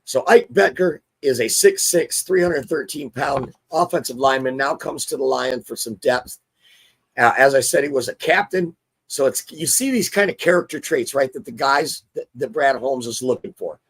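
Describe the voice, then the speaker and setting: A hoarse man hosting a casual sports talk show, chatty and excitable. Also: mid-range voice, moderate pace. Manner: chatty, excitable, restarts often. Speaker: show host.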